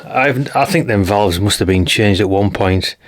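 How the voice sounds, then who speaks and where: British bloke, lowish voice, rambly casual teardown chat, thinking out loud like a hobby channel host. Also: male voice, a little slow. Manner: chatty, rambling, thinking aloud. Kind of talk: casual hands-on teardown commentary.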